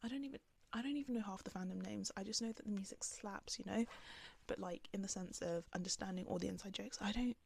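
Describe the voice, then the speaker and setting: A young woman talking casually to camera in a calm, soft voice, rambling with lots of "like"s. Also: mid-range voice, fast talker, vocal fry. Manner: rambling, filler-heavy with many "like"s. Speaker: vlogger. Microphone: camera on the speaker.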